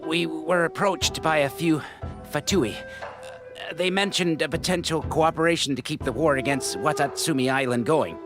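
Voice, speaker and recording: A man voicing a sheepish soldier in a video game, halting and apologetic as he explains himself. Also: on the high side, music in the background, lightly acted. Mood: sheepish, uneasy. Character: hesitant male soldier confessing sheepishly to superior.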